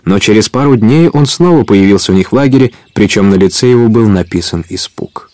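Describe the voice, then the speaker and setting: Male narrator, lowish voice, scripted audio story, dry recording. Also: animated, brisk, dark tone, no room sound.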